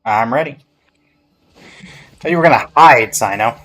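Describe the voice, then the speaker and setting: A man's voice: mid-range voice, measured pace, fairly bright tone. A man giving casual gameplay commentary, reading dialogue aloud and adding offhand remarks. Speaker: game streamer.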